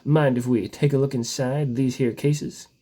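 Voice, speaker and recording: A man's voice: medium pitch, fairly even intonation, moderate pace, warm tone, close to an everyday voice. A man voicing a polite, officious police officer questioning people in a comic storybook reading.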